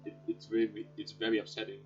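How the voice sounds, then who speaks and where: A man's voice: on the low side, completely flat delivery, quick pace. A man giving casual, chatty, offhand let's play commentary as he reacts to a game. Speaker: gaming video host. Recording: dull lo-fi mic, game music behind.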